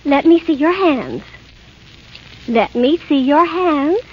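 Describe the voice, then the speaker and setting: An actress playing a sweet young fairy-tale princess, motherly and gently bossy, in 1930s radio style. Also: sits high, lively intonation, slow pace. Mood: gently scolding. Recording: muffled, noisy 1930s radio recording.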